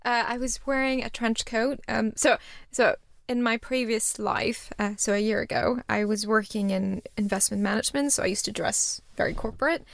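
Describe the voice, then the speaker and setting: A woman's voice: fairly high pitch, normal speed, gravelly, hardly any pauses, no echo. A young woman from Singapore, chatting as an interview guest with lots of fillers and restarts. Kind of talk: casual interview chat.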